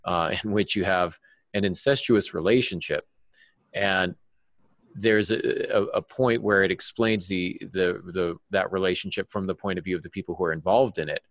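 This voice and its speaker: A man with a deep voice discusses craft analytically on a podcast. He feels his way through points haltingly, and the recording is dry with no room sound. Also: fairly even intonation, fairly bright tone, no echo. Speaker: podcast panelist.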